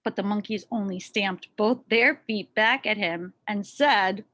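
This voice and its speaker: A woman reading a children's story aloud expressively, with deliberate pauses and dramatic emphasis. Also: mid-range voice, bright, forceful tone. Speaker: storybook reader.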